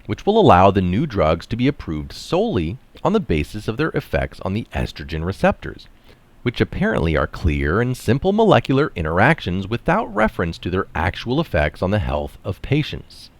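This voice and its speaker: Middle-aged male article reader: low register, extremely animated intonation, deliberate emphasis on key terms. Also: dry recording. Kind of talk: reading an article aloud with brief casual asides. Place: small room indoors.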